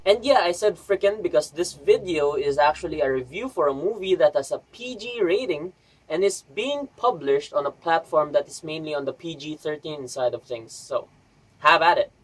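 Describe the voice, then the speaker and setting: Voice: mid-range voice, lively intonation, normal speed, soft background music, poor audio. A vlogger giving an enthusiastic, scripted review in a chatty, emphatic way with rhetorical asides.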